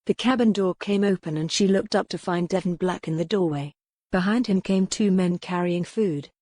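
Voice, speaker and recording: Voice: medium pitch, normal speed, a little mellow, no room sound. Dry, close-recorded audiobook narrator. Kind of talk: scripted dramatic narration of a historical romance novel.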